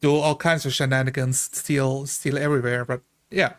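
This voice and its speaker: A man's voice: medium pitch, level tone, normal speed. A man chatting casually as a tabletop roleplay player, slipping into short acted-out quotes as he makes his points. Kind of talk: casual animated group discussion.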